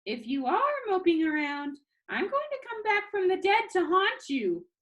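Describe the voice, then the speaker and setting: A woman puts on a cheeky, dying teenage boy in a read-aloud storybook. He talks in a high, lively voice that rises and dips a lot. His delivery is dramatic, teasing and full of jokes. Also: unhurried, highs cut off, heavily acted. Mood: cheerful, teasing. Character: dying teenage boy making joking farewell videos.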